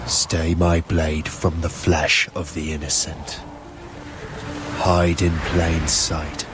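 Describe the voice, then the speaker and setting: A man playing a grave, regretful former assassin narrating his past in a solemn, measured way for a game. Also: a bass voice, little pitch movement, heavy background noise. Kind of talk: scripted dramatic narration.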